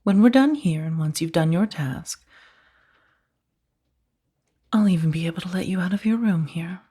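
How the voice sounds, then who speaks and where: A woman playing a powerful, seductive mafia boss in a soft-spoken ASMR roleplay, speaking in a breathy, measured, commanding and teasingly menacing way. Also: on the low side. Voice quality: soft, breathy, often slipping toward whisper. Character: powerful female mafia boss, coolly controlling, seductive kidnapper.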